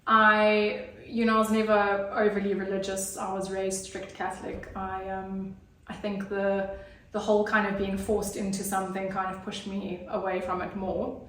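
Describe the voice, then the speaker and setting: A woman's voice: mid-range voice, monotone, normal speed, a bit bright. A woman, telling her personal story as an interview guest in an earnest, hesitant way with many fillers. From Zimbabwe. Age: 30s. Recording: roomy, distant mic.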